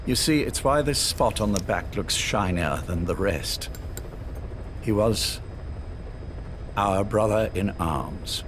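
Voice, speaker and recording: Over a loud engine-like rumble, an older spymaster from a dramatic video game. His voice sits fairly low. He lectures with cold, formal assurance, measured and visionary. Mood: solemn. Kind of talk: scripted dramatic game dialogue.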